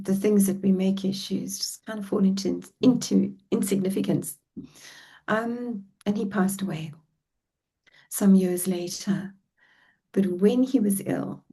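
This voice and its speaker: A woman's voice: mid-range voice, gravelly, dark tone, husky. A middle-aged woman with a South African accent, telling her life story as a guest on a podcast in a reflective, heartfelt way. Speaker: relationship coach guest. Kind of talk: podcast interview.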